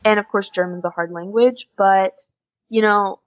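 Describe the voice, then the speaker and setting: A woman's voice: mid-range voice, steady tone, moderate pace. Young american woman chatting on a podcast, earnest and encouraging, kinda muffled lo-fi audio. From United States. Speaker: podcast guest, exchange student. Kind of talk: casual conversational interview. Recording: dull lo-fi podcast recording.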